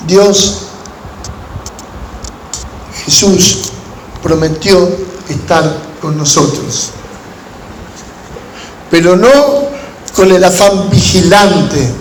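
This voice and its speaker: A man with an Argentine Spanish accent preaching as a pastor, telling stories in measured, deliberate phrases with pauses. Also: sits high. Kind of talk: sermon to a gathering of pastors, with storytelling. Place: large room at a church conference. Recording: echoey hall with background noise.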